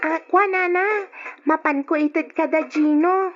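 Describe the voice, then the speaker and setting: Sweet little girl in an old radio drama, really high voice, dull lo-fi sound. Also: measured pace, slight background noise. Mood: hesitant. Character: young daughter, sweet, generous, pleading little girl.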